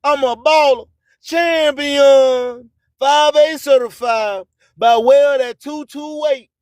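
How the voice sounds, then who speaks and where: A man's voice: very high voice, steady tone, slow, a little mellow, no room sound, studio quality. A man announces a radio show in a boastful, theatrical manner, repeating phrases for effect. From US Gulf Coast. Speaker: radio host. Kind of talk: hype radio station announcing over music.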